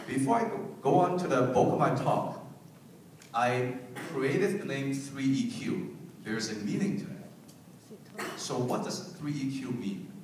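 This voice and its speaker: A man's voice: medium pitch, slight background noise. A man from Hong Kong whose native language is Cantonese gives an emphatic keynote speech to parents and students, repeating his key points and asking the audience to respond. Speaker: keynote speaker. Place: event hall. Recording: very echoey hall, mic at a distance.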